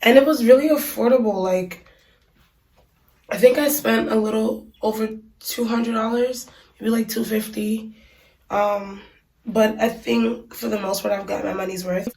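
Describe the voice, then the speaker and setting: A woman's voice: on the high side, fairly even intonation, unhurried, a little mellow. Woman vlogger with a cold, stuffy and chatty, in a small bathroom, a bit off-mic with room echo. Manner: chatty, many ums and likes. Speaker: beauty vlogger reviewing hair. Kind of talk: casual unboxing review. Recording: echoey room, mic at a distance.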